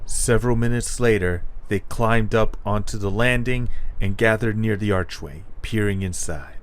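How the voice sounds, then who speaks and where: A man's voice: lowish voice, moderate pace. A man reading scripted narration for an adventure story.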